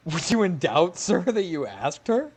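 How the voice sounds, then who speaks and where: A woman's voice: fairly low pitch, big pitch swings, takes it slowly, noisy background, exaggerated voice. A woman playing a witty, scornful young male soldier in a Shakespearean radio comedy, with a sardonic, theatrical manner. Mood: mocking.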